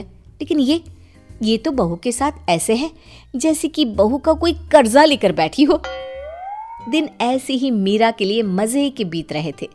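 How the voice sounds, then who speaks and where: A woman narrating a dramatised story in an expressive, conversational way, as a storyteller. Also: high-pitched.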